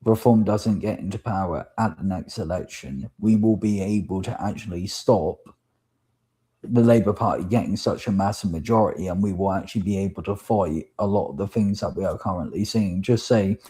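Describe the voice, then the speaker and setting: A man with a deep voice and a sore throat, hosting a political livestream chat and thanking his guest. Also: dark tone, slightly rough. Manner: measured, thanks guest at length. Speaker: YouTube channel host.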